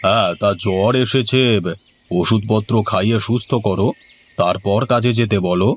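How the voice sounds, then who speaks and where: A man voicing a commanding village headman in a moral-story cartoon, speaking deliberately and with authority. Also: fairly low pitch, slight background noise, natural voice. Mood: stern, bargaining. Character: authoritative village headman who loves good food.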